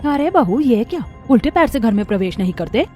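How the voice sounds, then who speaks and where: A woman's voice: fairly high pitch, very animated, fairly quick, mellow, soft background music. A woman voicing a chatty, forthright, traditional neighbour in a Hindi cartoon story. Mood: scolding.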